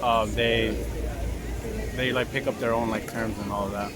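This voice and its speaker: A man's voice: medium pitch, little pitch movement, moderate pace, a loud crowd. A young man from Mexico, talking casually in a street interview and filling his speech with "like" and "um". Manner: rambling, many "like" fillers. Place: outdoors on a city street. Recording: street noise behind.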